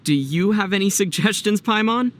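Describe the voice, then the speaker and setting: A man voicing a youthful male traveler in a video game, gentle and level-headed, with a calm, playfully teasing manner. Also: sits high, normal speed, noisy background, close to an everyday voice. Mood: matter-of-fact. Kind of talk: video game character acting.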